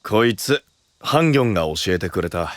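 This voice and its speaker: A man playing a gruff, frank, big-brotherly young man who speaks bluntly in an anime audio drama. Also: lowish voice, animated, brisk, dry recording, close to an everyday voice. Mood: encouraging. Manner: blunt, rough-spoken, encouraging.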